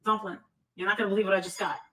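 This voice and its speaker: A woman's voice: mid-range voice, flat delivery, rapid-fire, a bit bright. A woman hosting a casual livestream chat, gossipy and blunt, with frequent profanity, recorded on a distant mic.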